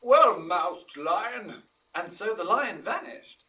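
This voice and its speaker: A man's voice: high voice, sing-song, slow pace, bright, forceful tone, recorded from a distance, a little hiss or hum, full character voice. A man playing a jovial, witty Athenian duke who mocks amateur players in theatrical Shakespearean style. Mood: amused. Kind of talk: Shakespearean stage acting.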